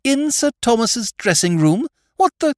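A man voicing a witty, sardonic gentleman rogue who lectures his sidekick with theatrical irony. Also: very high-pitched, sing-song, unhurried, dry recording, clean studio sound, pitched above the performer's own voice, exaggerated voice. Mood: urgent. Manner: ironic, theatrical, exasperated tirades.

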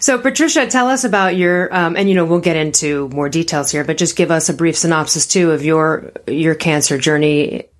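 A woman's voice: low-pitched, moderate pace, hardly any pauses. A woman hosting an interview in a casual, conversational way. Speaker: interviewer, host.

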